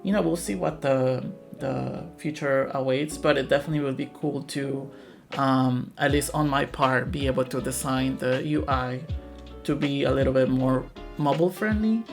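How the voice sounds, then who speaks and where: Casual creaky-voiced man over guitar music. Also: mid-range voice, moderate pace. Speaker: game developer hosting a Q&A. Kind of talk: casual live Q&A chat.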